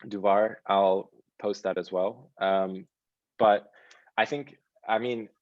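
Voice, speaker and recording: Male panelist: very low and monotone, thoughtful and searching, on a muffled video call. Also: slow pace, dry recording. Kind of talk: casual panel discussion.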